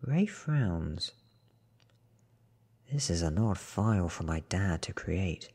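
A man reads a story in soft ASMR style, very deep and hushed. His voice is extremely breathy, often near a whisper, with a crackling fry underneath. He delivers each phrase slowly and deliberately. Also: lively intonation. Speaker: reader. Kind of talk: soft-spoken ASMR audiobook reading.

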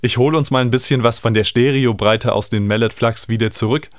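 A man, explaining music software informally as he demonstrates it in a tutorial. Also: medium pitch, steady tone, fairly quick. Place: small indoor home studio. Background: electronic music he is working on.

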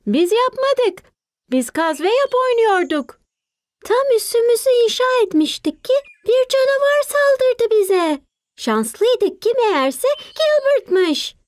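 A woman voicing an eager, excitable young child playing adventure games in a cartoon dub. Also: extremely high pitch, takes it slowly, dry recording, clean studio sound, exaggerated voice. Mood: earnest.

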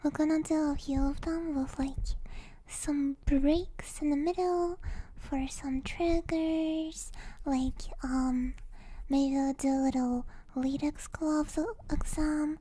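A young woman with a high, mellow voice talks softly into a close ASMR microphone. She often drops to a breathy whisper and takes her time, rambling gently and hesitantly as she chats with viewers. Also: fairly even intonation, slow pace. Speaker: ASMR streamer. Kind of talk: ASMR, casual chat with viewers. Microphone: close stereo ASMR microphone.

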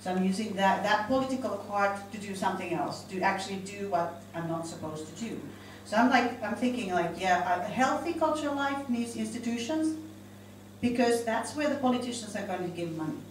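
A woman's voice: medium pitch, lively intonation, brisk, recorded from a distance. Finnish-accented woman, middle-aged, informal panellist feeling her way through ideas, heavily reverberant room with faint noise. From Finland. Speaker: panel speaker, institution director. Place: indoor conference room. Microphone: handheld microphone.

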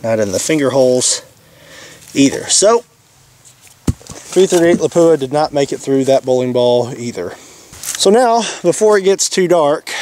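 A man's voice: a little high, darkish tone. A young man with a Kentucky accent, talking casually and plainly as a host while outdoors in an open field. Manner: plainspoken, easygoing, thinks aloud. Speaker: shooting channel host. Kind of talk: casual outdoor demo narration. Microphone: camera mic, sometimes at a distance.

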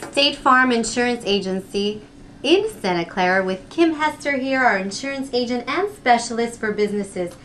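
A woman's voice: fairly high pitch, animated, fairly bright tone, slight background noise. A woman in her thirties hosting a promotional community TV interview, speaking enthusiastically into a handheld mic in an office. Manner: enthusiastic, spells out web address slowly. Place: office room with red wall.

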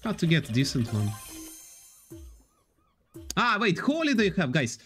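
A man live-streaming slot games from a desk, chatting to his viewers in loose, repetitive English. His fairly high voice swings up and down a lot. Quiet game music plays underneath. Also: wildly swooping pitch, a little slow. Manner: reads and answers chat, loose non-native English with repetitions. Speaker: casino slots streamer.

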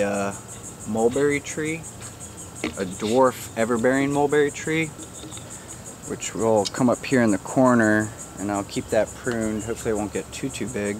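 A man casually showing viewers around his backyard garden outdoors, chatty and relaxed, joking with his animals. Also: fairly low pitch, a little hiss or hum. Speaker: gardener giving a backyard tour. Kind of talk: casual walk-through narration.

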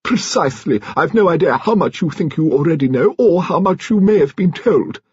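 An imperious old aristocratic lady of great size, performed by a male narrator, speaks grandly and deliberately. The voice is high and swooping, with a husky grain, in an emphatic audiobook manner. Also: expressive, dry recording, muffled recording. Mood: imperious, resolute. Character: imperious, frank, stout elderly aristocratic English lady.

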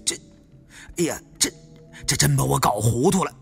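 A man's voice: mid-range voice, lively intonation, moderate pace, gravelly, soft background music, voice pushed down. A man voicing an earnest, inquisitive young male adventurer in an audiobook. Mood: bewildered.

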